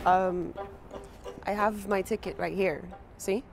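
A woman's voice: medium pitch, fairly even intonation, slow, vocal fry, faint music behind. A woman playing a frightened young immigrant, anxious and pleading, in a scripted short drama. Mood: nervous. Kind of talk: scripted short-film acting. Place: outdoors at station and parking lot. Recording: loud outdoor ambience, traffic noise.

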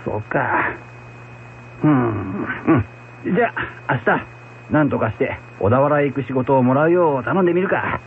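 An actor playing a good-natured, rough-spoken rustic packhorse driver in a radio drama. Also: male voice, mid-range voice, expressive, normal speed, pauses often, lightly acted. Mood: amiable, pondering. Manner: rough, folksy, colloquial. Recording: muffled radio recording with hum, faint noise.

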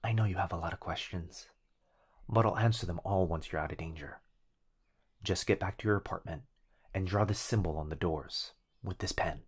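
Casting: male actor as an anxious young boyfriend, protective and earnest, lowish voice, ASMR roleplay. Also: moderate pace, slightly rough. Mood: urgent, worried. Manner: urgent, earnest.

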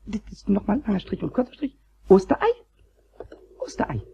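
An actor playing a mischievous childlike kobold in a children's radio play, raspy and croaky, stammering and repeating words when excited. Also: male voice, high voice, fairly quick, slight background noise, exaggerated voice. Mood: musing. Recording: dull old cassette recording.

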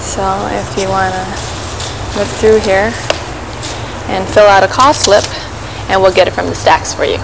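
A woman's voice: medium pitch, fairly even intonation, moderate pace, gravelly, pauses often. A woman in her thirties explaining as a librarian, recorded in a library for 1980s television. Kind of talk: informational explanation. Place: busy library room. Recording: slightly noisy 1980s TV location sound.

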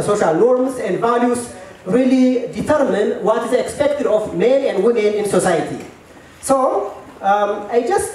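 Halting Ethiopian man in echoey tent. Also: very high voice, a little mellow. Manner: halting, many fillers and repeated words, chuckles. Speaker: storyteller at a work meeting. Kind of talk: personal storytelling to an audience. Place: large tent at an event. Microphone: handheld mic. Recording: echoey tent, mic at a distance.

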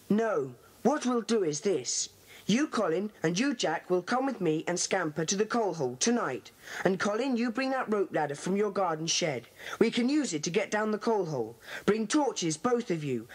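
A woman's voice: mid-range voice, breathy edge. A woman with a British accent playing a confident, bossy schoolboy club leader in a children's audio drama.

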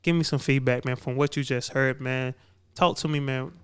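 A man's voice: mid-range voice, fairly even intonation, moderate pace, fairly bright tone, smooth. A man in his thirties hosting a podcast in a barbershop space, talking casually and prompting his guests with questions. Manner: conversational, prompting, repeats "man". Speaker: podcast host. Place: barbershop event space, indoors. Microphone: podcast mic, headphones on.

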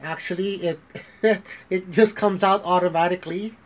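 American-accented guy, 30s, fairly high voice, casual bedroom phone vlog, lots of restarts. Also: fairly even intonation, normal speed. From Philippines, raised in the United States. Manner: rambling, frequent restarts, mixes in Tagalog phrases. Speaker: vlogger. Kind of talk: casual talk to camera. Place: bedroom, small indoor room. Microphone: phone camera at arm's length.

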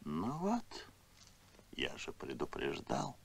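A man voicing a gloomy, pessimistic spaceship captain in an animated film, with a glum, grumbling manner. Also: very deep voice, slow pace, vocal fry, background noise, full character voice. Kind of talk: Soviet animated film acting.

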